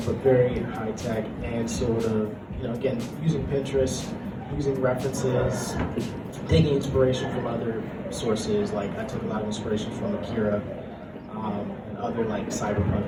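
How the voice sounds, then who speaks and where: A man talking informally as an artist on a convention panel, explaining things in a casual, conversational way. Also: medium pitch. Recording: roomy, distant mic, loud music behind.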